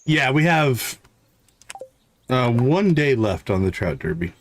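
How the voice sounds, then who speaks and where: A man's voice: mid-range voice, sing-song, slow pace. A man hosting a live game stream, thinking aloud in short casual fragments and chatting to viewers, with a slight catch in his throat. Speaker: livestream host, gamer.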